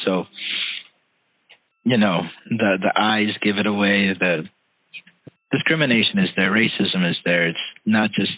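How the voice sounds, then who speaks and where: A man's voice: fairly low pitch, no room sound. A man hosting an interview who talks earnestly and hesitantly, full of fillers. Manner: earnest, hesitant, many "you know" fillers. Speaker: podcast host. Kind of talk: interview conversation. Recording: tinny phone-line call.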